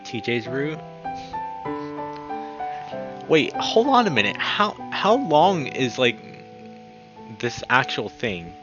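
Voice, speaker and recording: A man's voice: medium pitch, very animated, slow, long pauses. A man giving chatty, exclamatory commentary as he plays through a visual novel. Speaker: streamer playing a visual novel. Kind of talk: gameplay commentary and reading dialogue aloud. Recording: dull, lo-fi mic with music behind. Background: guitar game music.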